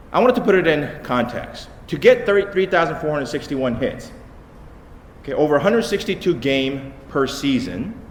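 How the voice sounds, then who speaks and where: Man giving a punchy talk in an echoey hall. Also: mid-range voice. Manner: punchy, repeats "okay?" for emphasis. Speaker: conference speaker. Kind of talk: short conference talk. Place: large conference hall. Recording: echoey hall with light noise.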